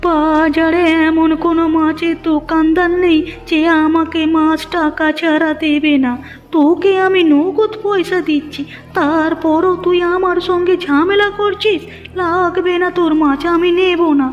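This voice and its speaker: A woman's voice: very high voice, steady tone, unhurried. A woman voicing an indignant, complaining old village woman in a cartoon. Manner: scolding, complaining. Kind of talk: cartoon dialogue acting. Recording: muffled narrow-band audio with music.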